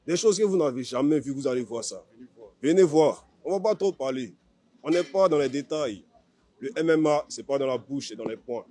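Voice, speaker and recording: A man's voice: mid-range voice, lively intonation, normal speed, dark tone. A man with an Ivorian accent, a fighter talking confidently and boastfully in an informal interview. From Ivory Coast. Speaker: MMA fighter being interviewed.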